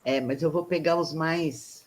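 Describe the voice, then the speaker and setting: A middle-aged woman student chatting casually in class, talkative and quick to laugh at herself. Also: low voice, fairly even intonation, slight background noise.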